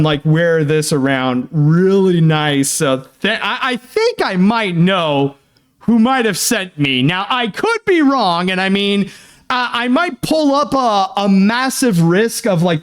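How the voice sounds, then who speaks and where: A young Canadian man hosting his channel, chatting through fan mail in a casual, excitable way, with lots of fillers and restarts. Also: high voice. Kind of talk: casual unboxing chat.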